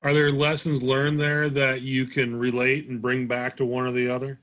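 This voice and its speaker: A man's voice: mid-range voice, monotone, moderate pace, fairly bright tone, low-quality recording. A man hosting a podcast, asking his guest friendly, open questions. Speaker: podcast host. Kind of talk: interviewing a guest.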